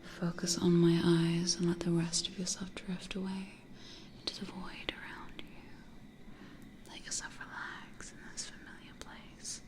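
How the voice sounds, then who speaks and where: A woman voicing a possessive, teasing female vampire in an ASMR roleplay, coaxing and domineering in breathy whispers close to the microphone. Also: on the low side, little pitch movement, measured pace, natural voice. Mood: commanding, hypnotic. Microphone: close studio condenser microphone.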